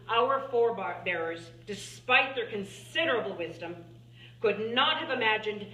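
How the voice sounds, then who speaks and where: A woman's voice: mid-range voice, sharp tone. A woman playing an earnest scientist and writer, giving a formal, emphatic speech to lawmakers in a dramatic monologue. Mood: pointed, indignant. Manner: deliberate, emphatic, oratorical. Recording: echoey room, distant mic, faint hum.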